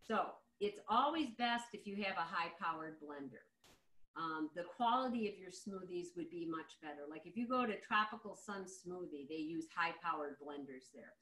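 A woman's voice: fairly low pitch, animated, moderate pace, a bit bright. A middle-aged woman giving an informal, conversational cooking demonstration over a video call. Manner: conversational, explanatory. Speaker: cooking demo presenter. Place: home kitchen, indoors. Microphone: video call, device across the counter. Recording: roomy, echoey video-call sound.

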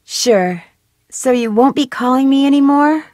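Brief: inquisitive young sleuth, high voice, dry close sound. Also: female voice, lively intonation, measured pace, darkish tone, dry recording. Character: curious young woman detective. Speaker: game character. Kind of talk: video game voice acting.

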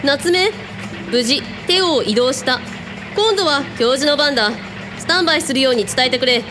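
A woman playing a commanding, teasing boss in a radio drama, giving orders in a brisk, casual way. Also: high-pitched, lively intonation, music in the background. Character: commanding, teasing female hotel owner with psychic powers.

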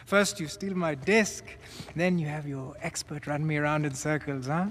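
A man's voice: on the high side, fairly even intonation, moderate pace, a bit bright, a little hiss or hum, lightly acted. Game villain for male actor: smug, menacing warlord, theatrical and taunting, big hall reverb. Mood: mocking. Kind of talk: dramatic video game cutscene acting. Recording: long hall reverb under music.

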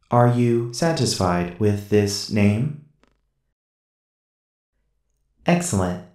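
Companion android, male actor: literal, stiff and courteous, unhurried delivery, sounding distant from the mic. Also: on the low side, mellow. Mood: polite, formal. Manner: stiff, formal, cold and robotic. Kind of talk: ASMR sci-fi roleplay. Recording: roomy echo, distant from mic.

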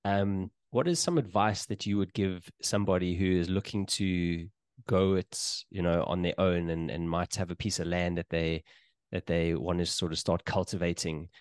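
Low-voiced guy hosting, lots of ums and restarts, dry close desk mic. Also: fairly even intonation, moderate pace, clear, resonant tone, dry recording. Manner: hesitant, many fillers and restarts. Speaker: podcast host. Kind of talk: casual interview. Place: small home room, indoors. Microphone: studio mic on desk, headphones.

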